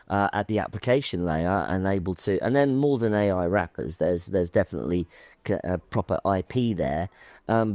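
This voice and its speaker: A man's voice: lowish voice, a little mellow, dry recording. A man moderating a conference panel, asking questions in a hesitant way with many "um" and "you know". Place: conference stage.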